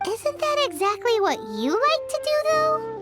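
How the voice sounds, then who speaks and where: An actress voicing a tiny, childlike female fairy companion in a video game, squeaky, cheeky and curious. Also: sing-song, unhurried, heavily acted. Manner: cheeky, refers to self in third person. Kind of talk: scripted video game voice acting. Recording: loud music behind the voice.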